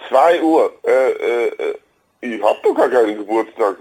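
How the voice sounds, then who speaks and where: A man with a husky voice, protesting in a flustered, halting way on the phone. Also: medium pitch, expressive, a little mellow. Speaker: prank call recipient, sales representative. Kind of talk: casual phone conversation.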